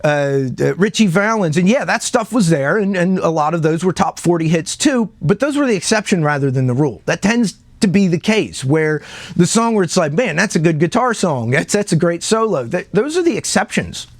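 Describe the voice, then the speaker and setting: Man, somewhat high-pitched, dry close sound, casual opinionated commentary, conversational, emphatic repetition. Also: big pitch swings, moderate pace, no echo. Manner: conversational, repeats himself for emphasis, checks in with listener. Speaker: music commentator. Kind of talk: casual opinionated talk about music history.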